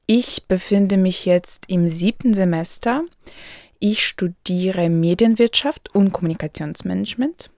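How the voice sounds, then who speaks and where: A young woman tells her own story as an international student, in a slightly low voice. She talks hesitantly, often pausing and filling gaps with soft fillers. The sound is dry and close, with no room echo. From Ukraine. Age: 20s. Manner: hesitant, frequent pauses and fillers. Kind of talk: personal account.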